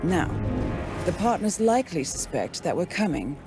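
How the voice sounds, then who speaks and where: An actress playing a composed, resolute female spy handler in a video game, speaking in a poised, deliberate, articulate way. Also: lowish voice, very animated, over loud music. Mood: businesslike, controlled. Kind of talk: scripted video game briefing and monologue.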